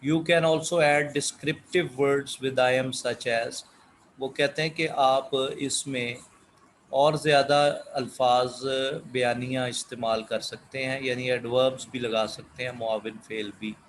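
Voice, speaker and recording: A man teaching an English class, reading English phrases slowly and explaining them in Urdu. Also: mid-range voice, a bit bright, a little hiss or hum, rough recording. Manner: reads English examples slowly and deliberately, then explains in Urdu-flavored Hindustani.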